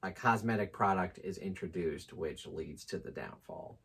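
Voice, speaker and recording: Male co-host, low slightly rough voice, relaxed unscripted book chat, jokey asides. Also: moderate pace.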